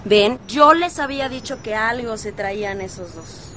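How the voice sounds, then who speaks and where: A woman's voice: a little high, animated, measured pace, background noise. An actress playing a chatty, gossipy teenage girl with a Mexican accent, confiding colloquially in a radio soap. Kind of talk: radio soap opera acting.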